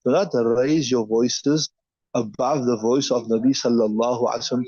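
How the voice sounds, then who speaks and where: A man's voice: medium pitch, fairly even intonation, moderate pace, a little mellow, breathy edge, dry recording, dull audio. A man teaching a hadith class, reading each line aloud and then explaining it step by step.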